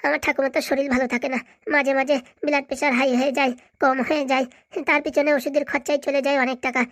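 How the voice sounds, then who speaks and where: A woman's voice: high-pitched, little pitch movement, very fast, dry recording, clean studio sound. A woman voicing a talkative, persistent male visitor in a comic cartoon, placating and long-winded. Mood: sorrowful storytelling.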